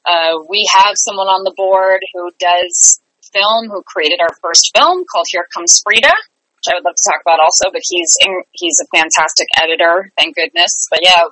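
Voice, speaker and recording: A woman's voice: fairly low pitch, pushed and bright, dry recording, poor audio. A woman talking conversationally as an interview guest, an enthusiastic theatre director.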